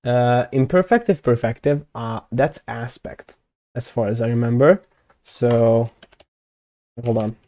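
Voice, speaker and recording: A man's voice: medium pitch, measured pace, mellow, no echo. A young Hungarian man chatting casually on a live stream, thinking aloud with many fillers and self-corrections as he plans a constructed language. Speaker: conlang streamer.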